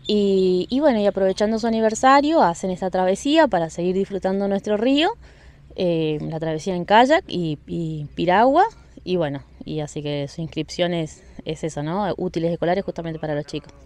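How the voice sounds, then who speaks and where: A woman, her voice sitting on the low side, is interviewed outdoors on a riverside beach. She speaks friendly and unhurried into a handheld mic, with many fillers and restarts. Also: animated, darkish tone. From Argentina. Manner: friendly, with frequent fillers and repeated words. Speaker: event organizer. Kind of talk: street interview. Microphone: handheld mic held by interviewer.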